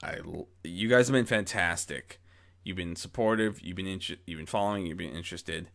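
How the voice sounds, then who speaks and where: A man with a fairly low-pitched voice chats reflectively to his followers. He rambles, doubles back and corrects himself mid-sentence. He speaks close into a boom-mounted microphone with a pop guard. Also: fairly quick, creaky, fairly bright tone. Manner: rambling, self-correcting, frequent false starts. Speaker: amateur audio story narrator and channel host. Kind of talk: casual reflective monologue to followers. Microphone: close mic on boom arm with pop guard.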